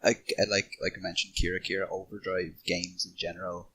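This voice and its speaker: A man's voice: sits low, flat delivery, fairly quick, bright, forceful tone, slight background noise, rough recording. A man in a casual podcast discussion, thinking aloud in a hesitant way as he gives his opinions.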